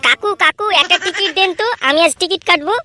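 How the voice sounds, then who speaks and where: A woman voicing an excitable, blunt young villager in a comedy cartoon. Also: extremely high pitch, fast, slight background noise. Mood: eager.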